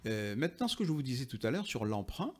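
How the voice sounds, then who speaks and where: A man's voice: mid-range voice, very animated, fast talker. A man speaking as an expert interview guest, explaining and arguing his points emphatically. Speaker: interview guest, linguist and author. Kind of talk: explanatory interview talk, argumentative.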